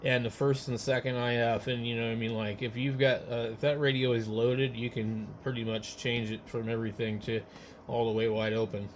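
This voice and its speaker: A man in a casual technical chat as a ham radio operator, speaking haltingly as he thinks aloud. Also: mid-range voice, level tone, slight background noise.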